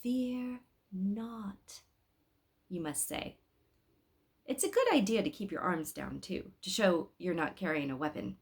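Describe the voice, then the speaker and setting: A woman voicing a gentle, learned male angel who instructs softly in a storybook read-aloud. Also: medium pitch, lively intonation. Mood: gentle chiding. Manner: soft, measured, instructive.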